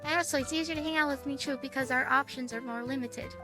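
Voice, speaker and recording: A woman doing casual gameplay commentary on a visual novel, reading its text aloud with a halting, stumbling delivery and some swearing. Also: high voice, fairly even intonation. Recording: music behind the voice. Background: music.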